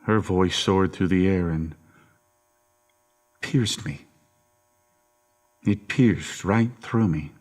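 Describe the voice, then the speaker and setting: A man's voice: sits low, very slow, pauses often, darkish tone, heavily acted. An actor playing a menacing, controlling middle-aged father in an audio horror drama, with a folksy, coaxing, domineering manner. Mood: wistful, haunted.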